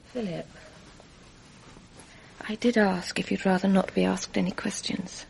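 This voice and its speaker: A woman's voice: medium pitch, fairly even intonation, a little slow, gravelly, a little mellow, low-quality recording. An actress playing a composed, brittle English wife in a radio drama, politely evasive and understated in her speech. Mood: quietly brittle. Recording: 1980s radio drama, faint background noise.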